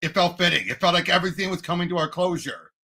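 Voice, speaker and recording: A man chats excitedly about movies as a podcast co-host. His voice sits high and is very bright and piercing, with a gravelly fry running through it. He is emphatic and quick to cut in. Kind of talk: casual excited movie discussion.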